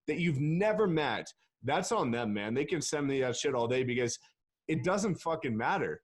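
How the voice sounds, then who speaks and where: A man's voice: medium pitch, animated, normal speed. A man, a real estate agent guest, talking bluntly and emphatically in a casual interview and swearing freely.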